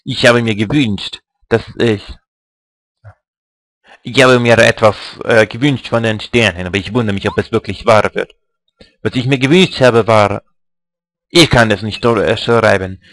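A man's voice: on the low side, measured pace, long pauses, a bit bright, dry recording. A man voicing a shy younger brother in a video game, reading his diary aloud hesitantly.

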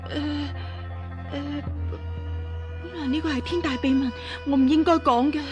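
An actress in a radio drama playing a frightened, scheming fake empress who pleads and stammers. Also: female voice, high-pitched, fairly even intonation, unhurried, muffled recording, over music. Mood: earnest confessing. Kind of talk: Cantonese radio drama acting.

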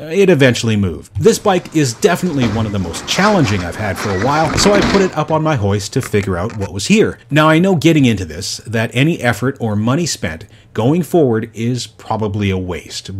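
Lively male motorcycle narrator. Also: medium pitch, slight background noise. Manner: animated, wry storyteller. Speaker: motorcycle restoration YouTuber. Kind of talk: scripted voice-over narration.

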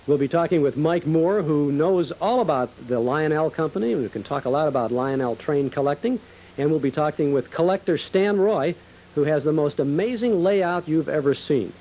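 A man's voice: a little high, moderate pace, mellow, poor audio. An American man hosting a nostalgia program, presenting and interviewing guests. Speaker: TV show host and interviewer.

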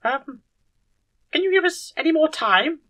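A man's voice: very high voice, animated, measured pace, sharp tone, voice pushed up, heavily acted. A man voicing a flustered, stubborn, eager female head surgeon in a comic story. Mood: hesitant pleading.

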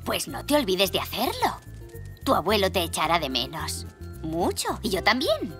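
A woman voicing a doting zebra grandmother in a cartoon dub, gentle and expressive. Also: medium pitch, moderate pace, soft background music. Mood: encouraging. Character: warm, doting zebra grandmother who loves baking. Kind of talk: Spanish-dubbed preschool cartoon acting.

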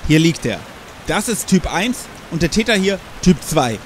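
An actor playing an earnest, dramatic police officer in a radio play. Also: male voice, fairly high pitch, very animated, normal speed, a little hiss or hum. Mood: matter-of-fact. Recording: clear music behind the voice.

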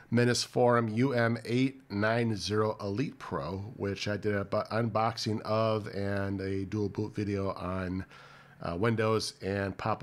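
Lowish man, a bit nasal from a cold. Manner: conversational, many fillers and restarts. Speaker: tech channel host. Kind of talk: casual tech review and walkthrough. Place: home office with desk and monitor.

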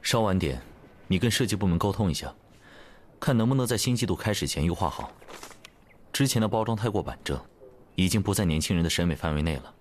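An actor playing a composed, doting young male executive in an audio drama, speaking in a measured, unhurried way. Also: low voice, animated, slight background noise. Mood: brisk, authoritative.

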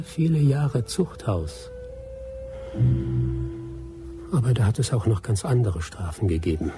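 Low-voiced, wry expatriate cynic. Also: male voice. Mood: grave warning. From Britain (the figure). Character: wry, cynical British expatriate man in colonial Brazil. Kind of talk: German radio drama acting. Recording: loud music behind the voice.

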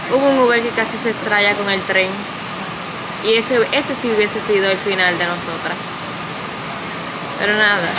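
A woman, chatting to the camera in a casual travel vlog and dropping English words into her talk. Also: medium pitch. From Dominican Republic. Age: 20s. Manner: chatty, quick, mixes English words into Spanish. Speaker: travel vlogger. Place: outdoors and an open-air hotel restaurant. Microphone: handheld camera at arm's length. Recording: loud background noise, waterfall nearby.

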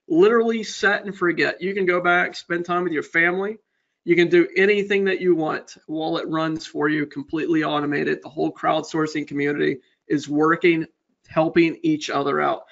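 A man's voice: a little high, creaky, a bit bright. A man hosting a webinar, delivering a casual sales presentation. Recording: dull, lo-fi webinar audio.

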